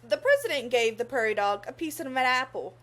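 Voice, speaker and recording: A woman's voice: high-pitched, expressive, a bit bright. A young woman reading a children's story aloud expressively, in a teacherly manner. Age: young adult. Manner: teacherly, pauses to pose questions to young listeners.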